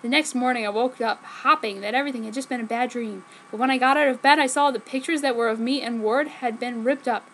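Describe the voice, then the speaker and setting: High-voiced woman reading a story theatrically. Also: fast, hardly any pauses, a little hiss or hum, poor audio. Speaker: reader. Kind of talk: dramatic comedic reading of amateur fan fiction.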